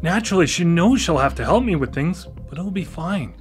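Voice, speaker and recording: A man acts out a defensive, dependent brother in a radio play, his voice high for a man and very animated in pitch. He starts haltingly and stammers, eager to stand on his own, with light music in the recording. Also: natural voice. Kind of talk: naturalistic live radio drama acting.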